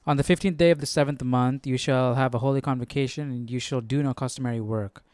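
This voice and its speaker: A man with a medium-pitched, clear and smooth voice talks casually as a livestream host. He muses aloud and circles back over his own words, recorded dry with no room sound. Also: steady tone, fast, darkish tone, no room sound. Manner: informal, musing, repeats himself. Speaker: host of a Bible study livestream. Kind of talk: casual chat, thinking aloud.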